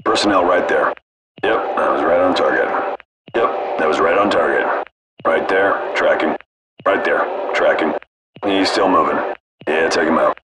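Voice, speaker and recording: Deep-voiced military gunship crewman over noisy radio. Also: unhurried. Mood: calm, detached. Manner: clipped, casual, detached. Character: cool, detached male military gunship crewman. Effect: military radio filter.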